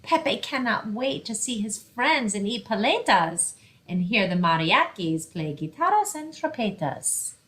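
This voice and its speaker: A woman's voice: a little high, big pitch swings, measured pace, fairly bright tone. A woman reading a picture book aloud and explaining things simply for children, as a librarian hosting story time.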